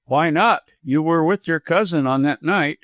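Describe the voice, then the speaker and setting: Guy reading as a firm no-nonsense doctor in a novel, curt and commanding, raised pitch, very dry recording. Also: moderate pace, a bit bright, dry recording, close to an everyday voice. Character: stern, protective male doctor, cool and sharp.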